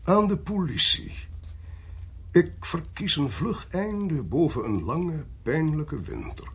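An actor playing an inquisitive, genial amateur sleuth in a radio play, with a husky, gravelly voice and an expansive, teasing way of talking. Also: male voice, on the high side, animated, slow, heavily acted. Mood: musing, genial.

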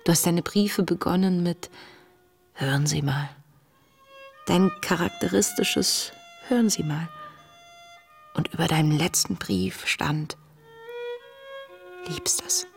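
An intense young woman confiding her diary in a radio drama. Her voice is hushed and introspective, often dropping almost to a whisper, with a creaky edge. She sounds devout, tender and full of grief, with music behind her. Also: fairly low pitch, animated, takes it slowly, pauses often, full character voice. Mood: grieving, tender. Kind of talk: intimate radio play monologue, diary reading.

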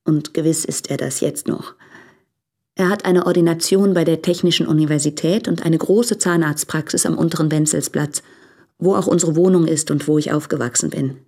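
An actress playing a lonely, despairing young woman who confesses in letters in a radio drama, with a husky, halting, subdued delivery. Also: low voice, warm tone. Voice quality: breathy, husky with creaky edges.

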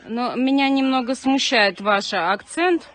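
A woman answering a phone call, calm and wary, questioning the caller skeptically. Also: high-pitched, measured pace. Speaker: caller's target, a channel subscriber. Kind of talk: phone conversation. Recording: noisy phone call recording.

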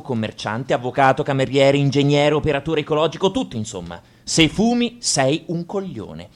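An actor playing an opinionated, self-righteous young male crusader in a radio drama monologue, emphatic and full of rhetorical questions. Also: a little high. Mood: indignant. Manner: emphatic ranting, rhetorical questions, mock-reasonable. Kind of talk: theatrical scripted monologue.

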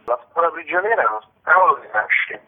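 A man with a husky voice, interviewed as a theatre director and explaining his work over the phone. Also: a little high, animated, quick pace. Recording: muffled narrow phone line.

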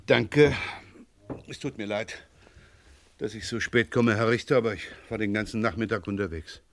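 An actor playing a calm, courteous French police inspector with measured authority in a German radio crime play. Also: male voice, lowish voice, slight background noise, natural voice. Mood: respectful.

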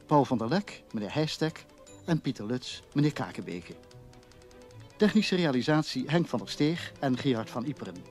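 A man's voice: mid-range voice, sing-song, moderate pace, a little mellow, soft background music. A man reading scripted closing credits as a radio announcer.

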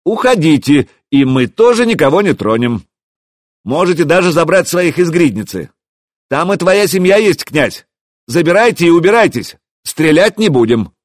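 A man voicing a defiant, mocking warrior chieftain who calls out loudly across a distance in an audiobook. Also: mid-range voice, lively intonation, slow pace, few pauses, no room sound, clean studio sound. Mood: brash, defiant. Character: defiant, taunting young warrior chieftain shouting challenges.

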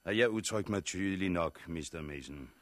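An actor playing a cold, authoritarian regime official in a 1960s radio drama, speaking in a measured, bureaucratic way. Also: male voice, sits low, no echo, natural voice. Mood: stern, commanding. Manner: measured, bureaucratic, matter-of-fact.

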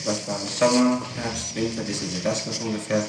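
A man questioning a witness as prosecutor in a formal interrogation, halting, methodical and insistent. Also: fairly low pitch, fairly even intonation. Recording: echoey, distant, noisy 1960s archive tape.

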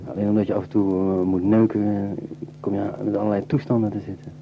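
A man's voice: fairly low pitch, level tone, fast talker, vocal fry, slight background noise. A man playing an anxious, brooding young man in a radio play, hesitant and stammering, repeating his words. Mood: brooding, fed up. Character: anxious, brooding young Dutchman fleeing people, troubled by women. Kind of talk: naturalistic radio play dialogue and muttered monologue. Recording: dull lo-fi tape with music.

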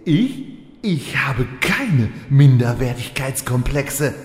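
A man's voice: mid-range voice, sing-song, slow. A man voicing an evil enchanted sword in a parody audio drama, scheming, petty and theatrical. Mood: indignant. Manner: theatrical, insinuating. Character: evil talking runesword, scheming, petty, easily offended. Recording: echoing hall reverb.

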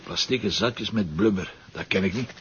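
An actor with a Flemish accent playing a weary, hungover police commissioner, gruff but affectionate, in a naturalistic audio drama. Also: male voice, deep voice, normal speed, lightly acted. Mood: impatient, sardonic. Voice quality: gravelly. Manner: grumbling, colloquial, sardonic. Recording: dull lo-fi recording, faint noise.

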